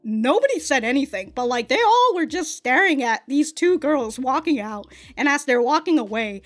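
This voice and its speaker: A woman in her thirties reacting and reviewing in a casual, unfiltered way, her voice sitting a little high. She swears freely, laughs often, and trips over restarts and stammers. Faint music plays underneath. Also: big pitch swings. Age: 30s. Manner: blunt and profane, laughing often; halting, with many restarts and stammers.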